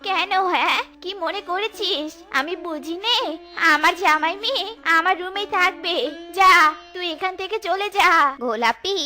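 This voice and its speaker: A woman voicing a dramatic, shrill cartoon animal mother-in-law in exaggerated family dialogue. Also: normal speed, bright, forceful tone. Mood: jealous, quarrelsome.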